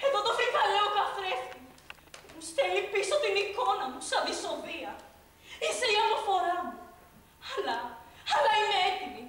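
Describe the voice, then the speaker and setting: An actress playing a bitter young maid full of hatred and grand dreams, in a theatrical stage delivery. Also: female voice, extremely high pitch, sing-song, a little hiss or hum, heavily acted. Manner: theatrical stage delivery, swings between mocking laughter and hushed intensity. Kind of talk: stage play performance. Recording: distant echoey stage recording.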